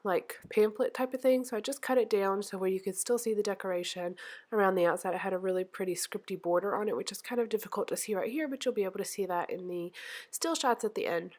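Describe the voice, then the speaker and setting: A woman's voice: mid-range voice, quick pace, a little mellow. Congested woman host, nasal from the flu, chatty craft voice-over full of ums and restarts. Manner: chatty, rambling, frequent ums and self-corrections. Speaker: scrapbooking channel host. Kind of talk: casual craft process voice-over.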